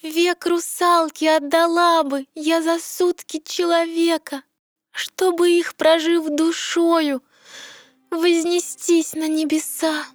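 A woman's voice: very high-pitched, little pitch movement, takes it slowly, no room sound. A woman playing a wistful, yearning young mermaid princess in a verse audio fairy tale, earnest and questioning. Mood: passionate yearning.

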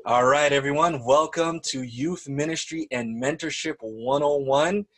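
A man's voice: on the high side, unhurried. A man hosting a ministry podcast, welcoming his guest in an upbeat, enthusiastic way with churchy phrasing. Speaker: podcast host. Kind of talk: podcast intro and interview.

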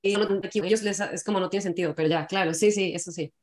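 A woman's voice: fairly low pitch, fairly even intonation, quick pace, darkish tone. A woman hosting a casual chat, who hesitates and trails off as she talks.

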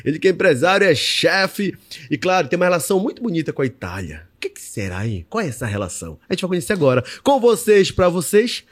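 Playful Brazilian podcast host. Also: male voice, sits high, big pitch swings, fast talker. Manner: playful, laughing, slangy. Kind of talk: casual podcast hosting.

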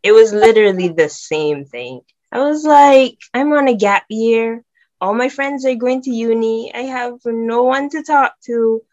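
A young woman from Barbados, chatting excitedly as a guest on a video call. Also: fairly high pitch, measured pace, muffled recording. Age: young adult. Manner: bubbly, excitable, laughs often. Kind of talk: casual video-call chat.

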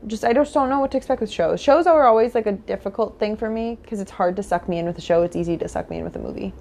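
A woman's voice: medium pitch, fast talker, creaky, darkish tone. An American woman co-hosting a podcast, chatting in an informal, effusive way. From United States. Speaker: podcast co-host. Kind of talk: casual chat about superhero movies.

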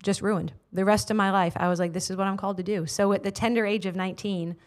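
A woman's voice: mid-range voice, fairly even intonation, fast. Earnest American woman sharing her story. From United States. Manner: earnest, heartfelt, many filler words. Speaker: guest, prayer ministry leader. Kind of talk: personal testimony in interview. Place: studio.

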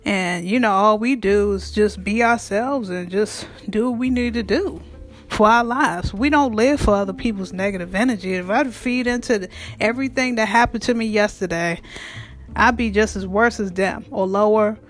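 A woman with a raspy voice and speech, hosting her own show in a blunt, frank monologue that swears freely. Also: medium pitch, normal speed, soft background music. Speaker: podcast host. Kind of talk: casual monologue.

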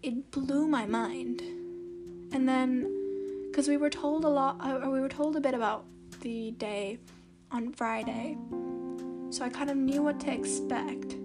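Teen girl, soft babyish voice, way up high, rambly podcast chat, loud guitar music behind her. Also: steady tone, slow pace, long pauses. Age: teens. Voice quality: soft, youthful baby-like voice. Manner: chatty, meandering, heavy use of "like" and restarts. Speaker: podcast host. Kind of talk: casual rambling podcast monologue. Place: at home.

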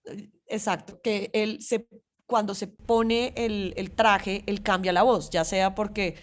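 A Colombian woman speaks in a medium-pitched voice as a podcast co-host. She talks informally and thinks aloud, repeating small words and hesitating as she builds her point. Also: fairly even intonation, takes it slowly. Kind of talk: casual chat about pop culture.

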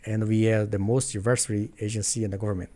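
A man's voice: fairly low pitch, little pitch movement, quick pace, dark tone. A man from Brazil with a Brazilian Portuguese accent, a guest in a casual interview, talking earnestly in English with frequent restarts.

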